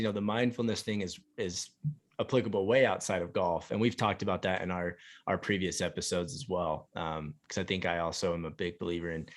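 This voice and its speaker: A man hosting a golf podcast, chatting eagerly and rambling with lots of filler words. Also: low-pitched. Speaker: podcast host. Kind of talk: casual interview chat.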